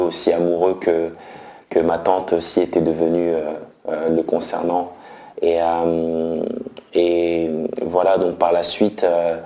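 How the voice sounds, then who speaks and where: A man in his thirties from France, giving a slow, hesitant personal faith testimony with many pauses and fillers. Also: low-pitched, dark tone, reverberant. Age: 30s.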